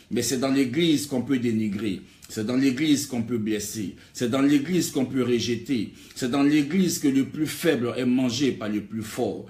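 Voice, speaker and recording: A man's voice: mid-range voice, a little mellow. A man preaching an exhortatory sermon in an emphatic way, building on repeated rhetorical phrases. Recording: echoey, reverberant room.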